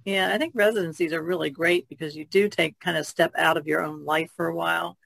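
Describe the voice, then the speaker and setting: Woman on a podcast panel, gravelly fry in her voice, dry recording, just chatting. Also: fairly low pitch, fairly even intonation, fast talker, fairly bright tone, dry recording. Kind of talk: casual chat.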